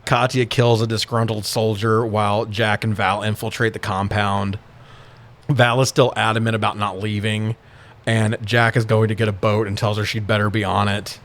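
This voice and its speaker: A man's voice: on the low side, flat delivery, moderate pace, a bit bright. A man co-hosting a podcast, recapping a film in casual comedic chat with sarcastic, heavily profane commentary. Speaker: podcast co-host recapping films.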